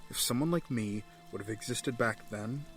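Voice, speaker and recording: An actor playing a bereaved, self-justifying villain in an audio drama, solemn and deliberate. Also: male voice, medium pitch, faint music behind, close to an everyday voice. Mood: brooding.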